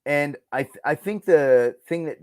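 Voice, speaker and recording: A man's voice: fairly high pitch, measured pace, darkish tone, dry recording, studio quality. A middle-aged man chatting casually as a podcast guest, earnest and emphatic, repeating himself for stress. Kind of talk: casual fan discussion.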